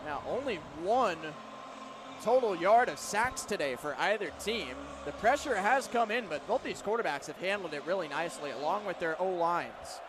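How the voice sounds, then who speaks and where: A man doing live football play-by-play for radio, giving crisp, detailed calls of each situation. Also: sits high, lively intonation, moderate pace. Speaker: play-by-play announcer. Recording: clear stadium noise behind.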